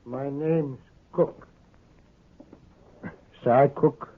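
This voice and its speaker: An actor playing a weary, grimly determined old American farmer in a 1950s radio western, speaking plainly and haltingly. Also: male voice, medium pitch, fairly even intonation. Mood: grimly resolved. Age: older. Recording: muffled, narrow-band old radio recording.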